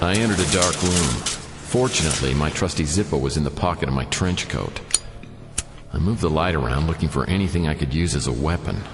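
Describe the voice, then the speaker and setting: An American man playing a down-on-his-luck private detective in a noir radio drama, narrating with deadpan, self-mocking dryness. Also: extremely low pitch, long reverb tail. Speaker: lead character and narrator. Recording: studio radio drama over music. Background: prominent music.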